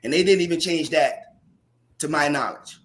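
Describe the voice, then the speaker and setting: A man who is a teacher speaks in a panel discussion with a loud voice, passionate and emphatic. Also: on the high side, normal speed, noisy background. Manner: impassioned, rising to emphatic questions.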